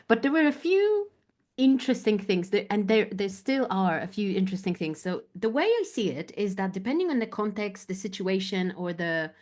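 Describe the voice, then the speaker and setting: A woman from Poland whose first language is Polish, a guest talking reflectively in an interview and often pausing to find her words. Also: medium pitch. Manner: thoughtful, searching for words, many fillers. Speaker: guest, business coach.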